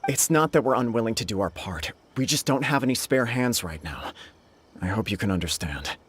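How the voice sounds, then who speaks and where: A man voicing an earnest, burdened young acting clan head in a video game, speaking in a formal, measured manner. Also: fairly low pitch, lively intonation, fairly bright tone, a little hiss or hum. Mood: polite, formal. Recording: game music underneath.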